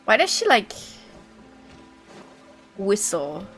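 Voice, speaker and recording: Unhurried woman streamer with a stuffy nose. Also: mid-range voice, wildly swooping pitch, fairly bright tone, breathy edge. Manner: joking, blunt, reacting aloud. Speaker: game streamer. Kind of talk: casual live gameplay commentary. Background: game music.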